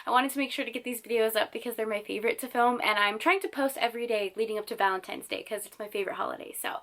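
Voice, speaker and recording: Bright-voiced young woman, slightly raspy. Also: a little high, very fast. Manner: bubbly, enthusiastic, says "like" often. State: raspy voice from a cold. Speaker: book channel host. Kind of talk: casual book recommendations to camera.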